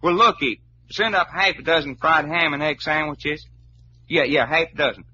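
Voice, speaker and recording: An actor playing a folksy, hot-blooded adventurer with a big appetite and a Southern drawl, in old-time radio drama style. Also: male voice, fairly high pitch, normal speed, bright, forceful tone. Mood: eager, brash. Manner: folksy drawl full of colorful slang. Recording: muffled, hissy old radio recording.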